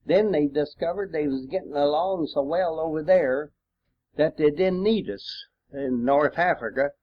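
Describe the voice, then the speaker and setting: Very old American man, country accent, unhurried folksy storyteller, fairly high-pitched voice, on a dull home recording. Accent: rural American. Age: 80s or older. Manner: folksy, rambling storytelling with frequent throat-clearing pauses. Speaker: war veteran telling his memories. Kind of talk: informal oral-history reminiscence.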